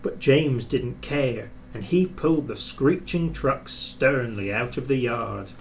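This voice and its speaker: A man narrating a children's storybook in an expressive scripted reading. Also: medium pitch, slow pace, creaky, a little hiss or hum. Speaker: storybook reader.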